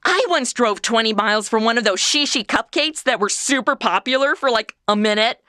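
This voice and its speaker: A woman's voice: fairly high pitch, animated, a little fast, sharp tone, no room sound, clean studio sound. A woman playing a sassy, food-obsessed modern young woman in a comedy audio drama, cracking snarky wisecracks.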